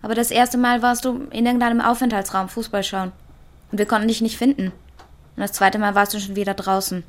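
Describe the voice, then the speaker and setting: A woman's voice: medium pitch, fairly even intonation, a little fast, lightly acted. Guarded, defiant young woman, played by an actress. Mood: reserved, serious. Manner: terse, evasive. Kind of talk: German radio drama dialogue.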